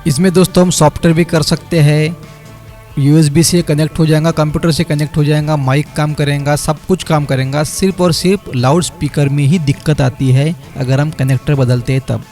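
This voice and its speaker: A man's voice: fairly high pitch, steady tone, a little fast. A man, a phone repair technician narrating a step-by-step repair tutorial over his work. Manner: explanatory, step by step, addresses viewers as friends. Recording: quiet background music under voice-over. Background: light background music.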